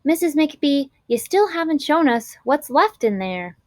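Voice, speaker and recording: A woman's voice: high-pitched, big pitch swings, unhurried, a little mellow, no echo, pitched above the performer's own voice. A woman voicing a bossy, impatient little schoolgirl in a children's storybook, whiny and insistent as she complains. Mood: indignant. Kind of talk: children's storybook read-aloud.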